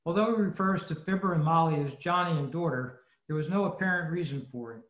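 A man's voice: fairly high pitch, fast, a bit bright, dull audio. A man giving a prepared, informative talk as a club presenter over an online meeting. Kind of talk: scripted talk on old radio history. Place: indoors, online video meeting. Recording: echoey online meeting audio.

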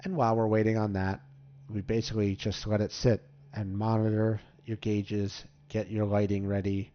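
Low-voiced man hosting a casual tutorial. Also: little pitch movement, moderate pace, a little hiss or hum. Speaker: tutorial host. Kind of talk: casual game tutorial commentary. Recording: slightly dull, muffled microphone.

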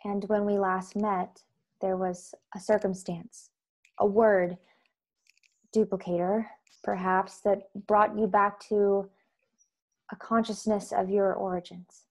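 A woman in her twenties playing an earnest, proud secret-society member in a science-fiction radio play, speaking in measured, formal phrases. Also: medium pitch, level tone. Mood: thoughtful. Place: bedroom on video call. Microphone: earbud headset.

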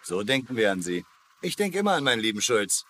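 An actor playing a sly, glib prisoner of war, coaxing and flippant, in a German comedy dub. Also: male voice, fairly low pitch, sing-song, brisk, pushed and bright, a little hiss or hum.

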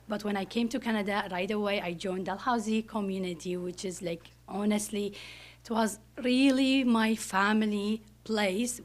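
A woman in her forties from Iraq, speaking English, giving a personal speech at a podium in a school classroom, often pausing to search for words. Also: medium pitch. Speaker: parent speaker. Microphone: podium microphone.

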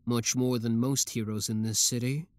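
A man's voice: mid-range voice, fairly even intonation, moderate pace, no echo, natural voice. A young man voicing an obsessive, lovesick young male villain in a theatrical, brooding audio roleplay. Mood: smug, possessive. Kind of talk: roleplay audio drama monologue.

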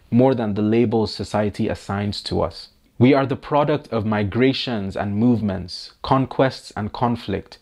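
A man narrating a documentary in a measured, reflective way. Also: fairly low pitch, a little mellow. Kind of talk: scripted voice-over narration.

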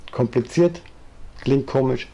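A man in his fifties with a Bavarian accent, telling his story in a rambling, chatty way in a living room, often trailing off with fillers, with a low-quality home recording. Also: slow, mellow, muffled recording. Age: 50s. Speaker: vlogger. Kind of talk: casual storytelling monologue, reading aloud. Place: living room, seated in armchair.

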